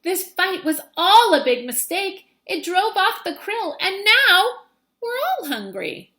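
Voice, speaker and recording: A woman's voice: very high-pitched, big pitch swings, slow, sharp tone, pitched above the performer's own voice, full character voice. A woman voicing an earnest young male fish who makes peace in a storybook, pleading and emphatic.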